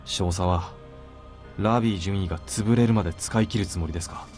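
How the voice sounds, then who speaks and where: A man plays an earnest male soldier in a visual novel, polite yet challenging toward his superior. The voice is fairly low and very quick, over background music. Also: a little mellow, lightly acted. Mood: pressing. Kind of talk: Japanese visual novel voice acting. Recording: game music under the voice.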